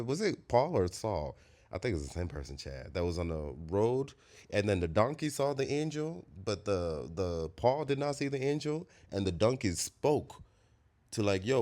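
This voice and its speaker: Nigerian man, extremely low voice, preachy spiritual monologue, emphatic. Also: darkish tone. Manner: reflective, preachy, emphatic, with sudden high-pitched bursts. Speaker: host.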